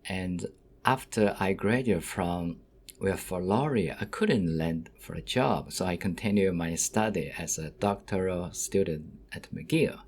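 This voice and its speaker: A middle-aged man who is not a native English speaker, lecturing to students in halting, simple phrases and often checking with an okay. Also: sits low, a little mellow, a little hiss or hum. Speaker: university lecturer.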